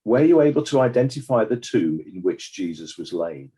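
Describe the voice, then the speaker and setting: A man acting as a formal inquest examiner with a husky, mellow voice. His questioning is courteous and measured, with very lively intonation. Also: on the low side. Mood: inquiring.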